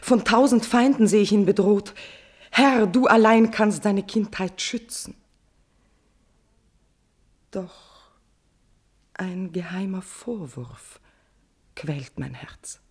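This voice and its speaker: An actress playing a tormented, lovesick queen in a classical verse radio tragedy, declaiming with passion and slipping between breathy whispers and swelling outbursts. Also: medium pitch, slow, long pauses. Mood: anguished, fearful.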